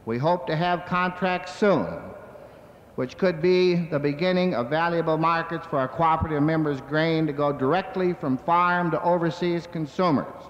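A man with a high-pitched voice delivers a prepared speech in a formal, measured manner. His words ring through a big hall with long reverb, captured on a dull 1970s recording. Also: a little hiss or hum. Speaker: grain cooperative executive giving a speech. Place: large convention hall.

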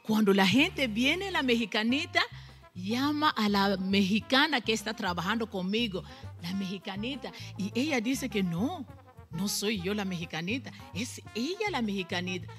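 A woman in her forties who grew up in Cuba, speaking, is a salon owner chatting as a guest on a studio talk show. She holds a handheld mic and often bursts into animated emphasis. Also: medium pitch, normal speed, soft background music. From Congo, raised in Cuba. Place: TV studio set.

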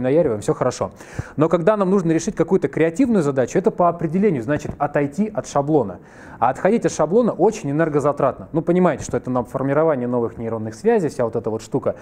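Fast-talking man with a slight cold. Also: fairly high pitch. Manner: lively, conversational, jokes with the audience. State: slightly ill, clears throat. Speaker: workshop presenter. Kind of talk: live masterclass lecture. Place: large wood-panelled hall with a screen. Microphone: headset mic.

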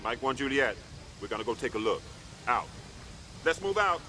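Terse army sergeant, swinging pitch. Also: male voice, very animated, moderate pace, bright, forceful tone, faint music behind, low-quality recording. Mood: dry, resigned. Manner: terse, commanding, dry. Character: seasoned, dutiful army sergeant, dry and steady man. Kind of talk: video game military dialogue.

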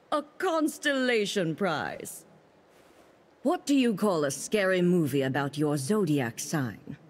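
A woman's voice: on the low side, breathy edge, heavily acted. A woman voicing an imperious celestial maiden in a video game, speaking formally and with haughty command. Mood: dryly playful. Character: imperious cosmic maiden, celestial keeper of the stars. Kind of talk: video game character voice lines. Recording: long reverb on game voice.

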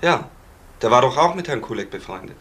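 An actor playing a blunt, matter-of-fact plainclothes detective, asking terse questions in a German crime radio play. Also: male voice, medium pitch, expressive, a little fast, fairly bright tone, slightly rough. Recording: noisy old radio drama recording.

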